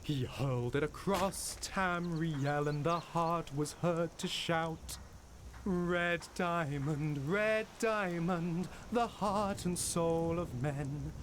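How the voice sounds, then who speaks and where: Singing male game character, acted by a man: slow mythic ballad, slightly high voice, noisy ambience. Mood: solemn singing. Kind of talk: sung fantasy game ballad. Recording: clear background noise, game ambience.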